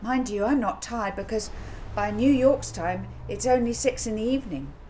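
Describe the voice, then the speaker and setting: A woman's voice: fairly high pitch, expressive, normal speed, warm tone, a little hiss or hum, pitched above the performer's own voice, close to an everyday voice, low-quality recording. A woman voicing an easygoing young boy in a children's story, upbeat and matter-of-fact. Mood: relaxed.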